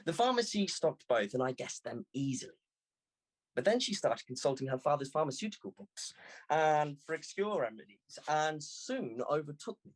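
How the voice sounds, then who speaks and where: A man reading a first-person literary story aloud in an expressive, scripted narration. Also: a little high, moderate pace, low-quality recording. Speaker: audiobook reader.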